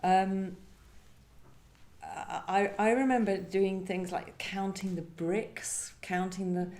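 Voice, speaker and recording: A woman's voice: medium pitch, fairly even intonation, takes it slowly, darkish tone. A woman poet speaking reflectively about her work, thoughtful and searching in her phrasing. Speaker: poet discussing own work. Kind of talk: reflective literary conversation with poetry reading. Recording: roomy live event sound.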